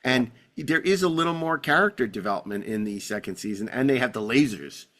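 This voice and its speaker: A man's voice: mid-range voice, lively intonation, fairly quick, fairly bright tone. Male podcast host, ordinary mid-range voice, casual and chatty, talks like a keen fan. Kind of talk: casual chat.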